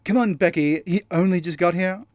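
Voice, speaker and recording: A man's voice: sits high, a little mellow, dry recording, clean studio sound, lightly acted. Man attempting a posh British character. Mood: placating. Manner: posh, measured. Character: posh, smooth-talking British colleague, reasonable and self-regarding.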